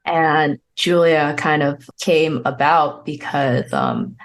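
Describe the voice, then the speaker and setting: A woman with a notably low voice and plenty of vocal fry co-hosts a podcast. She presents guests in a semi-prepared introduction. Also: sing-song, slow. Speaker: podcast co-host.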